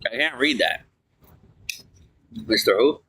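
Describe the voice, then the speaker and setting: A man's voice: medium pitch, lively intonation, slow pace, sharp tone, husky. A man livestreaming in casual, rambling talk as he reads and answers messages from viewers.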